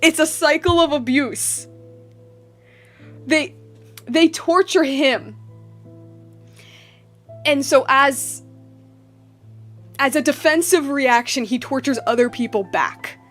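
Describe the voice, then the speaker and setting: Slow-paced, high-voiced woman streamer. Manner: excitable, slangy, exclamatory. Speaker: streamer reacting to a game. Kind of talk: casual gameplay commentary. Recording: music behind the voice. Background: game music.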